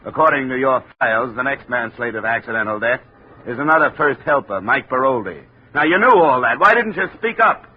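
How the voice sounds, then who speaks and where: An actor playing a tough, persistent insurance investigator in a 1950 radio drama, matter-of-fact and pointed. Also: male voice, mid-range voice, animated, fairly quick, slightly rough. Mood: confrontational. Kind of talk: 1950 radio detective drama acting and narration. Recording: noisy, muffled old radio recording.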